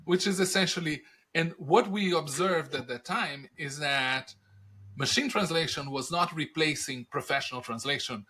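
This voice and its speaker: A middle-aged man whose first language is Portuguese speaks English with a Brazilian accent. His tone is bright and forward, set slightly high. He talks like an animated storyteller who answers his own rhetorical questions. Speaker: podcast guest, industry analyst. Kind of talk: conversational interview answers.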